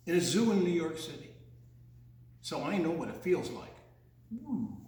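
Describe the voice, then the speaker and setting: An older man reading a picture book aloud to children in a theatrical, singsong way, with teasing asides. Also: fairly high pitch. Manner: theatrical, singsong, drawn-out delivery with teasing questions. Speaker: children's storyteller. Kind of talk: read-aloud picture-book story with playful asides to young listeners. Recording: very echoey, reverberant room.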